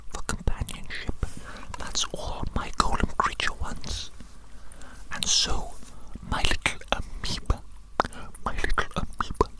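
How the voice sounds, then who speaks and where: A woman's voice: extremely high pitch, low-quality recording. A woman performing an ASMR roleplay as a grandiose, scheming evil scientist, whispering theatrically and repeating her phrases. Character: grandiose evil mad scientist doctor, scheming and self-important.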